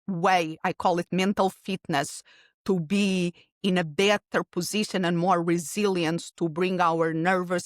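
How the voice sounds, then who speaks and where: A middle-aged woman with a Portuguese accent talks through her ideas in long, earnest runs and sometimes restarts a phrase. Her voice sits a little low. The sound is dry and close, with no room echo. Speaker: keynote speaker guest. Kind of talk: podcast interview answer.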